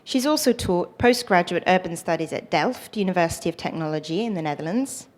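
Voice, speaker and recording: Lower-pitched woman host, formal introduction read aloud, occasional fillers. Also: lively intonation, fairly quick. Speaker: event host introducing the speaker. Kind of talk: introductory remarks. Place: lecture hall.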